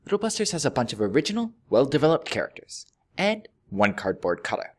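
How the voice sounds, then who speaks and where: Male narrator for a scripted review: highly varied intonation, dry humour, pointed pauses for emphasis. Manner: wry, deadpan joking with emphatic pauses. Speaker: video reviewer.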